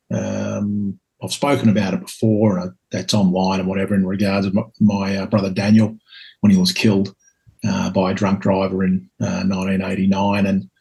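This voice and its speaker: A Scottish man in his fifties, a guest telling his life story in a reflective, hesitant way with many pauses and ums. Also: fairly low pitch, vocal fry, darkish tone. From Scotland. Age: 50s.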